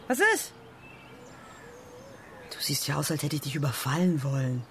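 Eine Schauspielerin als spöttische, bedrohliche Erpresserin in einem Hörspiel, anzüglich und theatralisch. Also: female voice, low-pitched, normal speed, a bit bright. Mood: mocking, menacing. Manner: mocking, insinuating, theatrical. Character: taunting, menacing female blackmailer. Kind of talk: radio drama acting. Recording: light background ambient noise.

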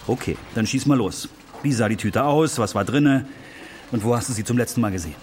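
An actor playing a flustered, fussily polite police officer in a German children's radio play, speaking hesitantly and repeating himself. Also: male voice, mid-range voice, brisk, a little mellow, slight background noise, close to an everyday voice. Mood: officious, impatient. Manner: hesitant, repetitive, fussily courteous.

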